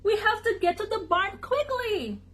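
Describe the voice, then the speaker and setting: A woman's voice: very high voice, big pitch swings, moderate pace, a bit bright, voice pushed up, heavily acted. A woman voicing a flustered, nervous duck lookout in a children's storybook, exclaiming and repeating words. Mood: alarmed.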